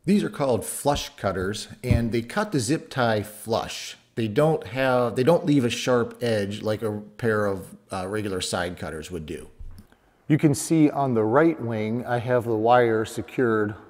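A man's voice: mid-range voice, animated, a little slow, a little mellow. A middle-aged man narrating his kit airplane build in a home workshop, explaining each step plainly and practically.

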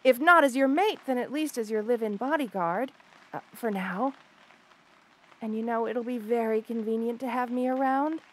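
A woman's voice: fairly high pitch, normal speed, natural voice, poor audio. A woman voicing an obsessive, jealous lamia monster girl in an intimate audio roleplay, flustered, boastful and gushing, with stammers. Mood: devoted, coaxing.

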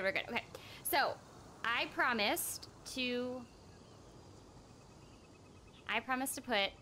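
An excitable, giggly young woman chatting casually on a live game stream, often repeating her phrases, close on a desk microphone. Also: sits high, slight background noise. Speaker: streamer and voice actress. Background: game music.

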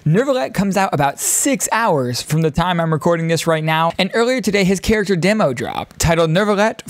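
A man's voice: a little high, no echo. A man in his thirties giving enthusiastic, casual reaction commentary as a video host, close on a desk microphone. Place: small indoor streaming room. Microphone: close desk microphone on boom arm.

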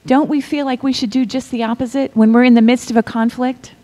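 A middle-aged woman preaching a sermon, reading scripture in a measured way and dropping to a whisper for effect. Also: a little high, fairly even intonation. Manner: slow, deliberate delivery with pauses and soft whispered stretches; asks the congregation to repeat lines.

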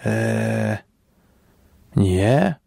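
A man's voice: on the low side, animated, extremely slow, gravelly, warm tone, no room sound, studio quality, exaggerated voice. A man voicing a dim, childlike, bewildered test subject in an audio story. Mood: dazed.

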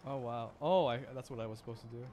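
A man's voice: mid-range voice, quick pace, darkish tone, slight background noise. A man streaming a video game, reacting casually and thinking aloud in short exclamations. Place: livestream voice-over with game music and sounds behind.

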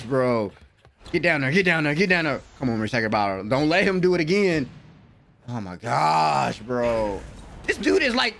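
Young male reactor, high and swooping voice, gravelly edges, slangy hype. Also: a little hiss or hum. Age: young adult. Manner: animated, slangy, hyped exclamations. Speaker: anime reaction host. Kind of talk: excited live reaction commentary. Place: small room at home, indoors. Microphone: desk microphone, close.

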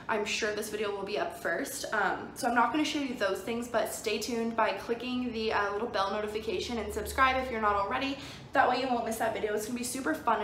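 A woman's voice: on the high side, a bit bright. A young adult woman vlogger talking casually and enthusiastically to camera indoors, in an informal, gushing haul style. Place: indoors in a plain-walled room. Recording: echoey room with faint background noise.

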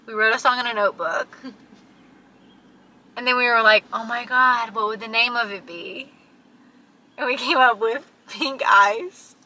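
A woman's voice: fairly high pitch, fairly even intonation, a little slow, pauses often, bright, forceful tone, rough recording. A woman in her twenties chatting casually as a vlogger, telling stories with dramatic emphasis in a car. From small town. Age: 20s. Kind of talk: casual chat, storytelling with a friend. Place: inside a parked car at night. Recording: background noise inside a parked car.

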